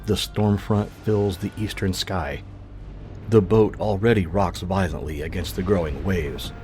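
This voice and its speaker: A man narrating a scripted fiction podcast in a measured, grave, dramatic storytelling manner. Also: deep voice, steady tone, mellow, soft background music.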